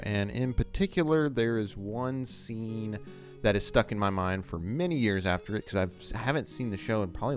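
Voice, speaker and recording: A man hosting a video essay, explaining things in a loose, conversational and emphatic way. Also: on the low side. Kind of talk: casual, unscripted explanatory commentary on TV writing.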